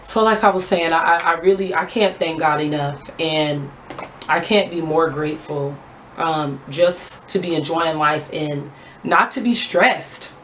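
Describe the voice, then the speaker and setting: A young woman with a raspy, low voice chatting heartfelt and casual as a beauty vlogger while doing her skincare at home. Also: unhurried, fairly bright tone, slight background noise, rough recording. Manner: chatty, heartfelt, thanks God often.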